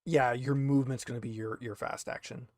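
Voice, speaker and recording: A man's voice: medium pitch, steady tone, brisk, darkish tone, dry recording, studio quality. A man acting as game master for an online tabletop roleplaying game, explaining things informally.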